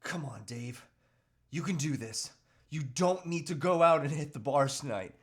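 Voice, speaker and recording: Man acting an earnest, high-pitched troubled husband. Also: expressive, moderate pace, slightly rough. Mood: pleading, self-coaxing. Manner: earnest, hesitant, pleading. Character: troubled husband and father resisting temptation, earnest, self-pitying. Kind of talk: live table read of a movie script.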